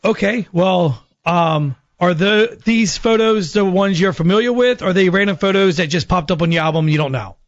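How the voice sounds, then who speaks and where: A woman's voice: fairly low pitch, animated, normal speed, hardly any pauses. A woman working as a radio prank caller, talking politely and a little hesitantly on a phone conversation. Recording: dull lo-fi audio, music behind.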